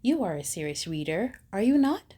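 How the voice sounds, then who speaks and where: Breathy female storybook reader, slow and lively, mock-stern delivery. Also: mid-range voice. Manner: slow, expressive reading with mock-stern emphasis. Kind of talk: children's picture book read aloud.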